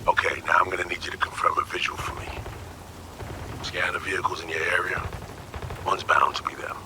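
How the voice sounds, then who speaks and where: An actor playing a composed, watchful veteran spy handler in a video game, giving measured, businesslike instructions. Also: male voice, deep voice, fairly even intonation, noisy background, close to an everyday voice. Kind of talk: cinematic video game acting.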